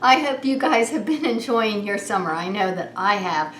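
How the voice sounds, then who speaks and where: A woman's voice: mid-range voice, lively intonation, moderate pace, fairly bright tone. A woman preschool teacher hosting story time, talking straight to young children with simple questions in a playful, singsong way. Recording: roomy echo, clear background noise.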